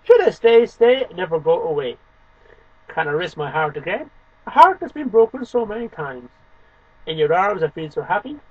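High-pitched husky man reciting poetry. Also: big pitch swings, moderate pace, no echo. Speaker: amateur poetry reader and video host. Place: small home room lined with shelves, indoors. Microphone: webcam microphone a short distance away. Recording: dull lo-fi webcam sound.

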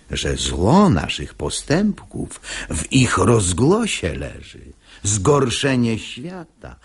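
Deep, husky, sly pious seducer. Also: male voice, exaggerated voice. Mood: oily coaxing. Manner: unctuous, persuasive, theatrical verse delivery. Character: sly hypocritical pious seducer, smooth-talking male schemer. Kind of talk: classical stage comedy radio acting in verse.

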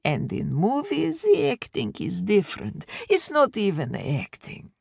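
A woman voicing a frail, doting elderly grandmother who speaks slowly, softly and coaxingly in an audiobook. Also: medium pitch, huge pitch swings, creaky, slightly rough, no echo, studio quality, voice pushed up, exaggerated voice. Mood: gentle. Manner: slow, soft and gently coaxing.

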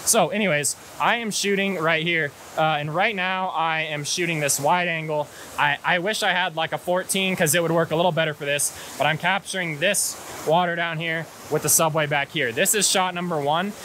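A young American man with a fairly high, bright, forward voice explains things in an easygoing vlog style. He is outdoors on an action camera, with hiss and rushing water behind him. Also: fairly even intonation. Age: 20s. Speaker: hiking and photography guide. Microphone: action camera at arm's length.

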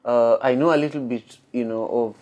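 Man, 30s, podcast host, medium pitch, conversational, frequent fillers. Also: steady tone, normal speed, lo-fi sound. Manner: warm praise, many fillers like "you know". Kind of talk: casual interview. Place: hotel room interview set, indoors.

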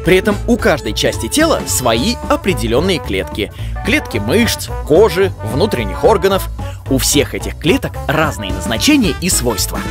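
Animated moose science teacher. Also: male voice, a little high, normal speed. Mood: explanatory. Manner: didactic. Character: enthusiastic male cartoon moose scientist explaining things. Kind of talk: educational cartoon narration. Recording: music behind the voice.

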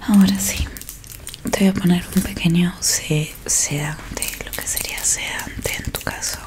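A young woman whispers right up at the microphone in Argentine Spanish. Her delivery is very slow and breathy, with a soothing, reassuring manner suited to an ASMR roleplay. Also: on the low side. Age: young adult. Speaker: ASMR performer playing a technician who erases memories. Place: small dim room indoors. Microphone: close microphone in front of her.

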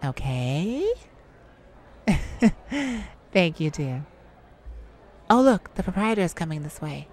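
A woman's voice: low-pitched, sing-song, takes it slowly, long pauses, a little mellow, pitched below the performer's own voice, heavily acted. A woman voicing a shy, sweet, easily flustered young male singer in an ASMR roleplay, with a stammering, earnest manner. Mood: sweet, bashful.

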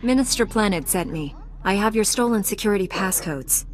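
A woman's voice: mid-range voice, lively intonation, brisk, lightly acted. An actress playing a confident, composed female knight in a sci-fi video game, resolute and assured. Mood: calm, resolute.

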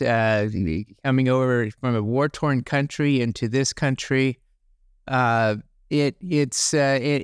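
An older man hosting an interview podcast, asking questions in a halting way with many filler words. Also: medium pitch, smooth, dry recording, clean studio sound. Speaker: podcast host. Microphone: podcast microphone on video call.

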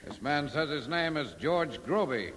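An actor playing an officious, coldly menacing moon port official in a 1950s radio drama. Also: male voice, on the high side, very animated, measured pace, noisy background. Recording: old radio recording, long hall reverb.

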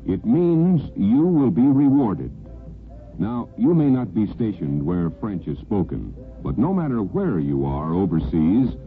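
A man reading a scripted public service announcement as a vintage radio announcer. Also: on the low side. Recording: lo-fi vintage radio, faint music.

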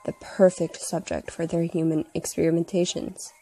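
Woman as a mellow, detached young villain man. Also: on the low side, level tone, slightly rough, soft background music, low-quality recording. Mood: calm, clinical. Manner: formal, measured, explanatory. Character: cool, detached young male villain reporting experiments. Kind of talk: comic dub.

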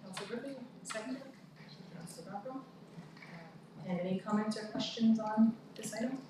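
A woman with a Canadian accent chairing a town council meeting, reading motions and calling votes in a brisk, procedural way. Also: fairly high pitch. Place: large council chamber. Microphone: far room mic. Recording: echoey room from far off, background noise.

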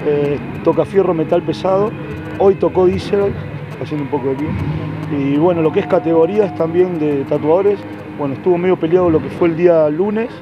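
A man's voice: fairly high pitch, a little fast. A middle-aged man with an Argentine accent speaking casually as an organizer being interviewed outdoors. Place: outdoor yard. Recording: dull audio, clearly noisy surroundings. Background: outdoor ambient noise.

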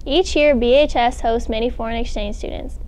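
A teenage girl reads school news script as anchor, moving at a quick clip. Her voice sits a little high for a woman. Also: darkish tone, smooth. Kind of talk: scripted narration.

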